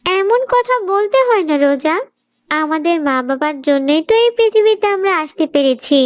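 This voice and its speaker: A woman voicing a gentle, pious little schoolgirl in a cartoon, speaking earnestly and politely. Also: very high-pitched, expressive, normal speed, dry recording, studio quality. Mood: gently reproving. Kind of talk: cartoon dialogue.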